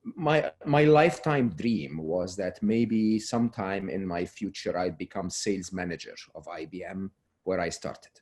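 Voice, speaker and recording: Man, very deep pitch, earnest, restarts often, remote call. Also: measured pace, darkish tone. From Egypt. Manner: earnest, frequent restarts, drops voice to close points. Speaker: guest. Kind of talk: relaxed interview talk.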